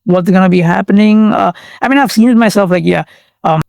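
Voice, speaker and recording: A man's voice: sits high, a little fast, vocal fry, dry recording, clean studio sound. A young man from Bangladesh, chatting casually as a guest with lots of fillers and restarts. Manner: relaxed slangy talk full of fillers and restarts. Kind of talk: casual chat.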